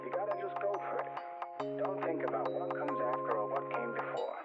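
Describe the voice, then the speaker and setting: A man's voice: on the high side, animated, moderate pace, lightly acted. An actor playing a folksy, avuncular male mentor giving earnest, encouraging advice in an audio drama. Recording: muffled lo-fi voice under loud music.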